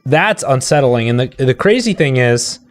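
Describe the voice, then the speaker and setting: A man's voice: medium pitch, animated, normal speed. A man co-hosting a live stream, chatting casually and offering his opinions in a conversational way. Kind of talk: casual chat about horror films. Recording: music playing behind the voice. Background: music.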